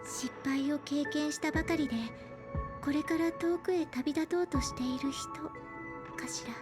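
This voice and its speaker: A woman playing a gentle, thoughtful young female singer in a video game, with soft, composed, sincere delivery. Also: high voice, fairly even intonation, fast talker, close to an everyday voice. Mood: earnest, reassuring. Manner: soft, composed, feminine phrasing. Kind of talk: Japanese video game voice acting. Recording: game audio with music behind.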